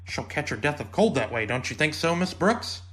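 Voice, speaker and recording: Woman as an earnest, naive male teacher, animated. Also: low-pitched, moderate pace. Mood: earnest, polite. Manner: earnest, mild. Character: polite, naive male biology teacher, easily startled. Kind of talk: 1950s radio comedy acting.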